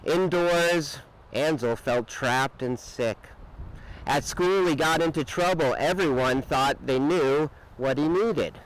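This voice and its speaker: A man reading a children's picture book aloud with expressive, dramatic emphasis and drawn-out words. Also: fairly high pitch, low-quality recording. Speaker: storybook reader.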